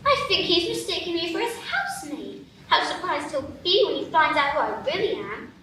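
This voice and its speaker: Woman doing a bright, high little girl, proper and eager, echoey stage. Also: moderate pace, full character voice. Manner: earnest, excitable, prim. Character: polite curious young girl. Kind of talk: live radio play acting. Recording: echoey stage, distant mic, room noise.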